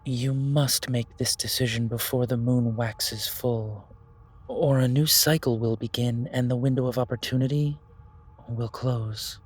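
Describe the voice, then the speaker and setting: A mystical keeper of ancient magic, acted by a man, with a husky, breathy voice. He speaks in measured, portentous and formal phrases, in the grave manner of fantasy audio drama. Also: mid-range voice, steady tone. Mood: solemn, reverent. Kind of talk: fantasy audio roleplay acting.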